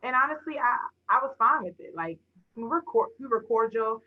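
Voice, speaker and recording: A woman's voice: a little high, animated, moderate pace. A young adult woman on thin phone-like audio, chatting casually and candidly as a podcast co-host. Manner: candid, colloquial. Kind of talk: casual chat.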